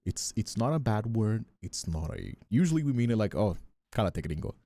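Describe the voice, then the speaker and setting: A man's voice: on the low side, very animated, a little fast, dark tone, no room sound. A man, a Portuguese speaker, chatting casually on a livestream in a rambling, teasing way that often repeats phrases. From Brazil. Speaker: streamer and ASMR voice actor.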